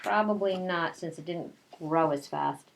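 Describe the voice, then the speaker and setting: A woman with a fairly low voice speaks as a kindergarten teacher at story time. Her delivery is conversational and aimed straight at young children, in the style of a picture-book read-aloud mixed with casual chat. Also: a little slow, vocal fry, rough recording. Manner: conversational, addresses children directly with questions.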